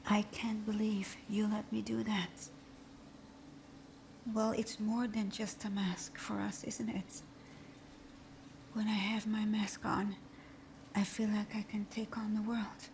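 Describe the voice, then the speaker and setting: A woman playing a supervillain thief whose teasing bravado hides a timid, wounded heart, in a breathy, often whispered, intimate ASMR roleplay style. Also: mid-range voice, a little mellow, a little hiss or hum. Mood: stunned, tender, then vulnerable, confessional. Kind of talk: ASMR roleplay audio drama.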